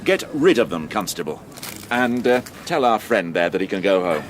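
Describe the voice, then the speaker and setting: A man's voice: lowish voice, sing-song, normal speed, slight background noise. An actor playing a testy, well-off police inspector in a radio crime drama, dry and condescending as he grumbles. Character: testy, well-off police inspector who likes his brandy.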